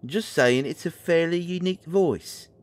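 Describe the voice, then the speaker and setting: A man's voice: a little high, a little slow, no room sound, close to an everyday voice. A man playing a cheeky, mouthy male musician sidekick with a British accent in an audio drama, sarcastic and slangy. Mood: mocking.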